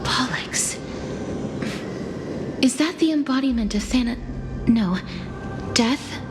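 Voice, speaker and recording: A woman playing a solemn, gentle, troubled young woman in a video game, speaking in formal, measured, old-fashioned phrases. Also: on the high side, animated. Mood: solemn, polite. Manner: formal, archaic, measured diction. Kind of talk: dramatic video game dialogue. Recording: echoey hall reverb, loud music. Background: loud game music.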